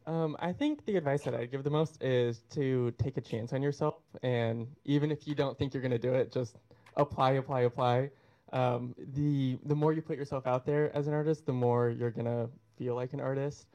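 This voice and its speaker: A man's voice: mid-range voice, steady tone, normal speed, dark tone. Modest, encouraging man on a panel. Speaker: panel artist. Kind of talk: panel discussion answer.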